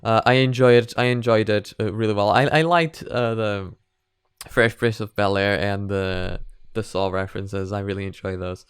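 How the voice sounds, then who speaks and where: Guy doing an offhand reaction review, smooth voice really dry recording, kinda rambles and circles back on himself. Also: fairly low pitch, fairly even intonation, normal speed, dry recording. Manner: hesitant, rambling, repeats himself. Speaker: video reviewer reacting to an animated parody series. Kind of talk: casual unscripted reaction and commentary.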